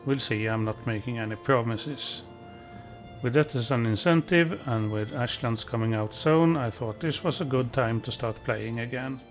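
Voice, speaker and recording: A man's voice: mid-range voice, normal speed, soft background music. Modest man with a Swedish accent. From Sweden. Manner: calm, modest, self-deprecating. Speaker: let's play host. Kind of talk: gameplay commentary.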